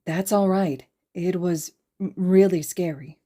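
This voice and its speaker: Woman playing a nervous teenage schoolboy, gentle, hesitant, stammering, mellow, audiobook dialogue. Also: on the low side, steady tone, a little slow, husky. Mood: nervous, kind. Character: timid, gentle teenage schoolboy hiding his nerves.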